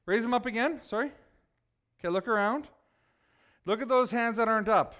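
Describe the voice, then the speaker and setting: Guy pastor, pretty high voice, chatty sermon, cracks jokes at folks in the pews. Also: a little fast, fairly bright tone. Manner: jokey, teasing the congregation by name. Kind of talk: casual conversational sermon.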